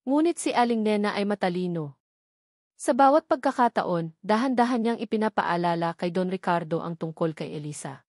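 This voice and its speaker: A woman's voice: mid-range voice, expressive, moderate pace, a little mellow, dry recording. Filipino woman narrating drama, dry close sound. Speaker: story narrator. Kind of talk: scripted dramatic narration of a romance drama story.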